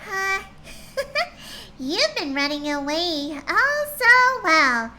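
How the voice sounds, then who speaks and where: A woman's voice: very high voice, very animated, extremely slow, background noise, voice pushed up, full character voice. A woman voicing a creepy, giggling evil twin of a female pony, taunting in a sing-song way.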